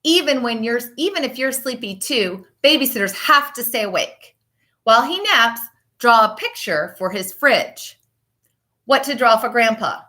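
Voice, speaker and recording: A woman with a fairly high, strikingly bright voice reads aloud for young pupils. She speaks as a teacher would, expressively and emphatically, shaping phrases with deliberate pauses. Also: lively intonation. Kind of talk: children's picture book read-aloud and chat to pupils.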